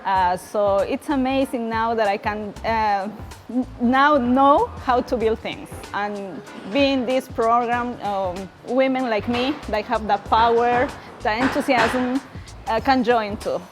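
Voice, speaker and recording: A woman from Peru, a native Spanish speaker with a Peruvian Spanish accent, giving a student testimonial and often pausing to search for words. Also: fairly high pitch, soft background music. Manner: halting, searching for words in non-native English. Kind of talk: personal testimonial to camera.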